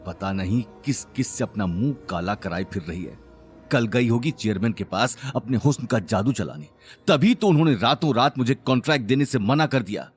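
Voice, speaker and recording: A man's voice: medium pitch, expressive, moderate pace, faint music behind, natural voice. Man acting out a sneering, hot-tempered young businessman. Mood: taunting, spiteful. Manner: sneering, taunting. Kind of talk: Hindi audio drama acting.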